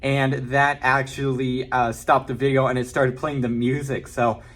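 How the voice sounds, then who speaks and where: A man giving a casual, informal product review, talking in a halting way and hedging his opinions. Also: medium pitch, flat delivery, a bit bright. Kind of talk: casual unboxing and review talk.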